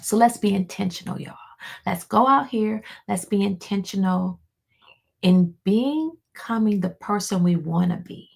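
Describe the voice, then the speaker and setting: A hoarse woman, a life coach, giving an encouraging motivational talk to her viewers, repeating key lines for emphasis and calling them y'all. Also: medium pitch, unhurried, a little mellow. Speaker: life coach hosting a weekly motivational show. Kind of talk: motivational pep talk to camera.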